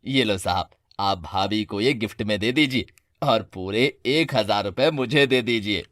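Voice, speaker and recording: A man's voice: on the low side, unhurried, a bit bright, no room sound, pitched below the performer's own voice. A man voicing a pushy, scornful shopkeeper with an oily salesman's manner in a cartoon. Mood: oily coaxing. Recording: dry studio voice with music.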